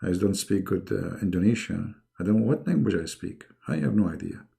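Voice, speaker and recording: A man's voice: low voice, a little fast, gravelly, mellow. A middle-aged man who speaks English as a second language hosts a live online debate in a mocking, emphatic, sarcastic way. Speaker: debate host.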